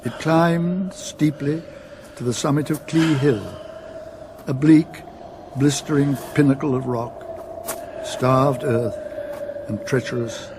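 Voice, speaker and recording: A man narrating a radio drama in a measured, literary storytelling manner. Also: mid-range voice, steady tone, noisy background.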